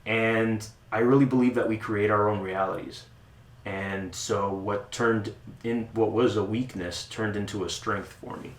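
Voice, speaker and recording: A man talking casually as an interview guest about the club he runs, relaxed and joking, with lots of restarts and fillers. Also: on the low side, a little slow. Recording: echoey room with faint noise.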